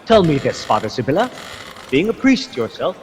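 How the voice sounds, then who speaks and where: An actor playing a haughty, indignant Spanish friar in a radio drama, with a bombastic and scornful delivery. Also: male voice, on the high side, very animated, normal speed, a little hiss or hum. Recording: long hall reverb, music underneath.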